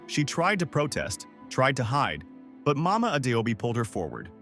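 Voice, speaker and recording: A man reading a scripted, dramatic story in a measured storytelling manner. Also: mid-range voice, huge pitch swings, fairly bright tone, soft background music. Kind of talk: scripted narration of a dramatic folktale-style story.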